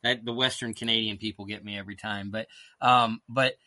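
A man's voice: lowish voice, steady tone, fairly quick, bright, forceful tone, no echo. A middle-aged man, a guest author in a casual interview, rambling and self-deprecating as he drifts off on tangents.

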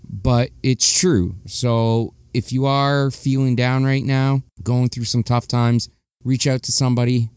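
A man's voice: mid-range voice, a little mellow, smooth, no room sound, clean studio sound. A young man hosting his own podcast, talking casually and candidly, rambling and restarting his sentences. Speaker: podcast host. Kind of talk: casual solo podcast monologue.

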